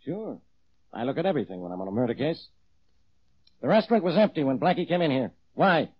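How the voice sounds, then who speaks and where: A gruff sarcastic cop inspector, raspy, muffled old time radio. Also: male voice, on the high side, big pitch swings, quick pace. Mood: brusque, authoritative. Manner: gruff, needling, hesitant openings. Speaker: police inspector. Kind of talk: 1940s radio acting.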